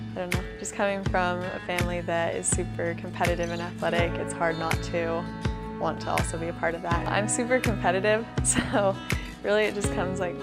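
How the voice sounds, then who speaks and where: A woman in her early twenties from Texas, a college athlete giving casual interview answers. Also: fairly low pitch. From Texas, United States. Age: early 20s. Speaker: college soccer player being profiled. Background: loud guitar music.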